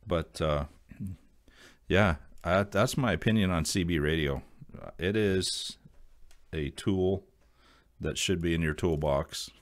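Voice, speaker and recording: A man's voice: low voice, slow pace, pauses often. A man with an American accent giving a casual, unscripted explanation to viewers in a rambling, folksy, plain-spoken way. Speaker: video creator sharing radio hobby advice.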